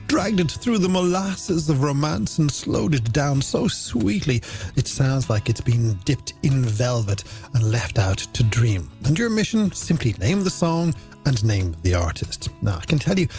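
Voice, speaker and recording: A man's voice: medium pitch, a little mellow. An Irish man presenting a late-night radio music show in a playful, theatrical, scripted way with flowery phrasing.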